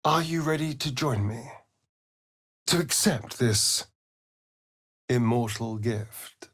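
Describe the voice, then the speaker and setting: An actor playing a vain, sardonic vampire rogue in a fantasy video game, with an arch, drawling, theatrical delivery. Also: male voice, medium pitch, slow, a bit bright, slightly rough, no echo, studio quality. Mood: coaxing, sly.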